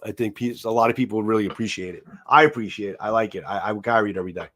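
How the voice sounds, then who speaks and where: A man's voice: on the low side, racing, a bit bright. A man with a New York Italian-American accent hosting a casual livestream, rambling through viewer comments with repeated words and casual swearing. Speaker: livestream host. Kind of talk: casual live chat reading comments. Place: home, seated in a chair.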